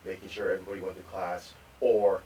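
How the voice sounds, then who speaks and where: A man co-hosting a live podcast, telling rambling stories about growing up, with repeated words and animated quotes. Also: low voice, fast, slight background noise. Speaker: podcast co-host. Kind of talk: storytelling in casual chat. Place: live podcast recording venue. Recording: distant mic with faint room ambience.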